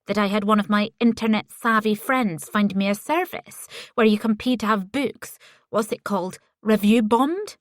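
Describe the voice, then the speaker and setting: A woman doing a gravelly, taunting older woman. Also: a little high, lively intonation, unhurried, no echo, clean studio sound. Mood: gleeful mocking. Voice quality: gravelly smoker's voice. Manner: mocking, gleeful, taunting. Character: spiteful gloating older woman, a grieving mother. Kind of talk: audiobook character voice.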